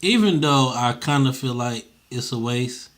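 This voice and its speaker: A man with a mid-pitched voice talks in a casual, conversational way. His phrasing is informal and slangy, and he repeats short phrases to stress a point. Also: expressive, unhurried, fairly bright tone. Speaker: interviewer.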